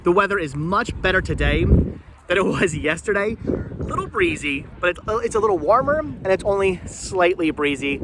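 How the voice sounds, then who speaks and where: A man with a somewhat high voice chats off the cuff as a vlog host. He rambles, restarting and repeating his phrases as he goes. The recording is outdoors, with light background noise of a market and vehicles. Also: expressive, moderate pace. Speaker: vlogger hosting a flea market thrifting video. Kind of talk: casual unscripted vlog chat to camera and companions. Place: outdoors at a busy flea market. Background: outdoor market ambience and vehicles.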